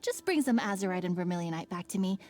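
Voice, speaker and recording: An actress playing a polite, businesslike young woman who manages a festival project in a video game, explaining things clearly. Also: on the low side, lively intonation, fast talker, darkish tone, close to an everyday voice.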